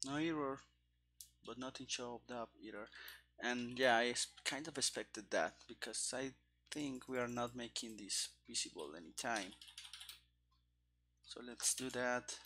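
A man in his thirties giving casual, halting live coding commentary into a headset mic, musing to himself as he works. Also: medium pitch, noisy background. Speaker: streamer, game developer. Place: indoor room at a computer. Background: keyboard typing and clicking.

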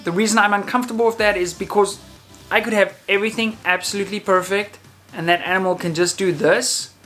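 A man in his thirties talking casually to camera as a channel host, in a conversational way with hesitant, self-qualifying pauses. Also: high-pitched, fairly even intonation, pushed and bright, soft background music. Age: 30s. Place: indoor room, talking to camera.

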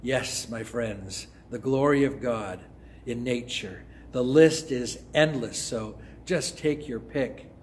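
An older man preaching a sermon in a church, close to a phone or webcam. He speaks in a measured way and often drops to a soft, hushed voice. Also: mid-range voice, a little hiss or hum. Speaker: pastor. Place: church sanctuary before an altar cross.

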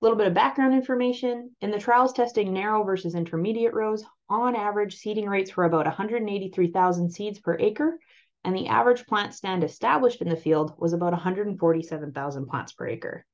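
A woman with a Canadian accent presenting on-farm crop trial results over a slide presentation, explaining them clearly and steadily. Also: medium pitch, brisk. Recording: slightly muffled voice-over.

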